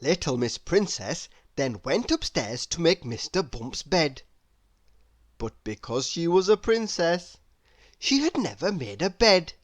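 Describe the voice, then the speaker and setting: A woman reading a children's story aloud in an expressive, deliberate, theatrical way. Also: low voice, no echo. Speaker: storybook reader.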